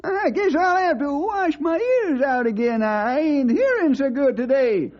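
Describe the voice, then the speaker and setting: An actor playing a cranky, folksy old sidekick who frets and grumbles in rustic grammar in an old-time radio drama. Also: male voice, very high voice, animated, a little slow. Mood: incredulous, teasing. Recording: dull old radio recording.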